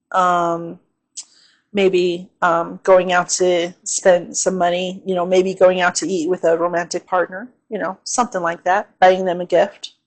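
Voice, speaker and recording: A woman hosting her own channel, talking through an astrology forecast in a casual, unhurried way with a slightly hoarse, tired voice, often saying "um" and checking in with "okay?". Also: lowish voice, rough recording.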